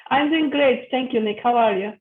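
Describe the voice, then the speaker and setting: A woman in her early thirties from Turkey, speaking with a Turkish accent as an interview guest, earnest and deliberate in her phrasing. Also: fairly high pitch, narrow, tinny audio. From Istanbul, Turkey. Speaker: guest, psychologist and yoga teacher. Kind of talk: interview, personal account.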